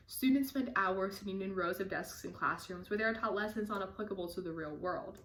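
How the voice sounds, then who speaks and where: A woman's voice: mid-range voice, fairly bright tone, reverberant. A teenage girl earnestly reading a prepared personal statement for a scholarship application. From United States. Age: teens.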